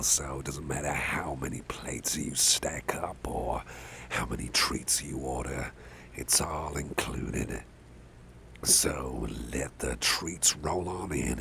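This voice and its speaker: A man playing a doting, soft-hearted older boss in an ASMR roleplay, speaking gently and reassuringly in a gravelly, breathy half-whisper. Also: rumbling low, slight background noise, heavily acted. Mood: caring, indulgent. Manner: slow, gentle, reassuring, rambling. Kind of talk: ASMR roleplay, softly spoken to the listener.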